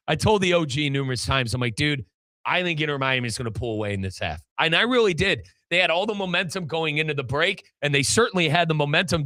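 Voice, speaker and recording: Bright-voiced American man talking soccer. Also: fairly high pitch, dry recording. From United States. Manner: informal, chatty, slangy. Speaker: sports podcast host and play-by-play commentator. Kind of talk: casual, animated soccer discussion.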